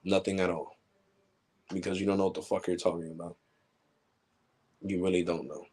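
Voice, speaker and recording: A man's voice: deep voice, little pitch movement, moderate pace, darkish tone, husky. A man in his forties hosting a tech channel, lecturing viewers in a blunt, heated, profane way during a casual livestream rant. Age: 40s. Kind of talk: casual ranting livestream monologue.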